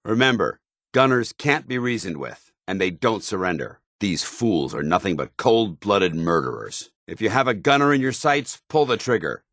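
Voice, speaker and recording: An actor playing a stern, zealous soldier companion in a video game, with an American accent and a formal, earnest military delivery. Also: male voice, on the low side, sing-song, normal speed, fairly bright tone, no room sound, clean studio sound, full character voice. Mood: grim.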